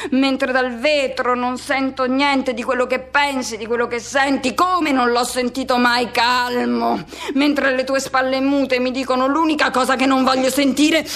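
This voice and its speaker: High-pitched, intense, embittered dramatic monologue. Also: female voice. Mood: seething, tense. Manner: intense, incantatory repetition, swinging from hushed to shouting. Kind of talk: dramatic radio theatre monologue.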